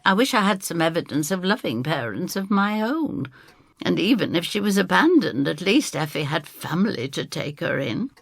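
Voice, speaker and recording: Older female narrator, husky and creaky, dramatic first-person storytelling. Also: on the low side, very animated, normal speed, no room sound.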